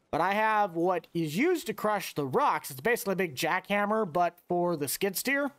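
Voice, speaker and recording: A man giving casual, chatty commentary over his gameplay, talking straight to his viewers with excitable outbursts. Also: sits high, clean tone, no echo. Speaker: gameplay video host.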